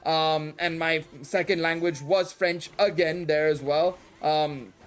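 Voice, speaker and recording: A man's voice: a little high, steady tone, slow pace. A man with an Indian English accent that drifts toward British and American, chatting casually and in a rambling, slangy way as he streams a game. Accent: shifting Indian English with British and American touches. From Dubai and India. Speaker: live streamer. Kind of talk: casual livestream chat during gameplay. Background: music.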